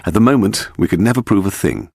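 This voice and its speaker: A man plays a suave detective in an audiobook mystery. He is composed and authoritative, reasoning aloud with assurance in a low voice that moves with lively pitch. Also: fast, creaky, slightly rough, dry recording, clean studio sound, full character voice. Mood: confident.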